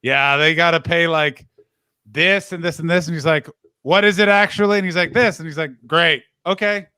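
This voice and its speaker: A man from Canada telling stories in a casual chat, animated and acting out what people said. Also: fairly high pitch, moderate pace, smooth, no room sound, clean studio sound. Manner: animated storytelling, swearing, acts out quotes.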